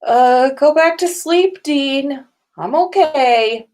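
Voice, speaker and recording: An exasperated teenage wizard boy as a woman reader plays him, pitched up high. He complains with heavy emphasis, mortified and fed up, in a comic storybook reading. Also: lively intonation, takes it slowly, darkish tone. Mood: embarrassed.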